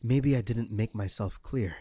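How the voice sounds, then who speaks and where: A man's voice: on the low side, a little slow, dark tone, no echo. A man playing a smugly menacing, vengeful crime villain in an audio roleplay, with a mocking, theatrical delivery. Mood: cold threat. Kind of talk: scripted ASMR audio roleplay.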